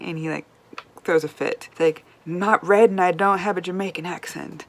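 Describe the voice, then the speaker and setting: A woman reviewing books in a chatty, rambling way, swearing casually and sometimes dropping to a whisper. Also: low-pitched. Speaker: book vlogger. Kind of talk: casual book review chat.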